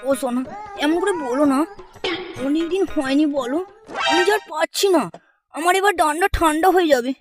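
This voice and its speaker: A woman's voice: sits high, a little fast, faint music behind, natural voice. A woman voicing an amorous, pleading husband in a cartoon, whining and wheedling. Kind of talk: exaggerated cartoon dialogue.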